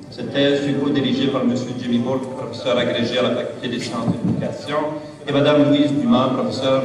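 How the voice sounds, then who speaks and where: A man serving as dean, formally reading out degrees and graduates' names. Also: mid-range voice. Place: large hall at a graduation ceremony. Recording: echoey hall, voice far from mic.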